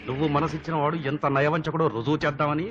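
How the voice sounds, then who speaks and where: An actor playing a fast-talking man in a film comedy intrigue. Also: fairly high pitch, level tone, close to an everyday voice. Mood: probing. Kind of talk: Telugu comedy film acting. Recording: dull film soundtrack with music behind.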